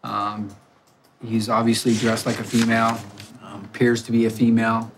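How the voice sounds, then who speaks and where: Measured male undercover deputy. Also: on the low side, completely flat delivery, background noise. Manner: plain and measured with fillers. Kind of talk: recap to camera.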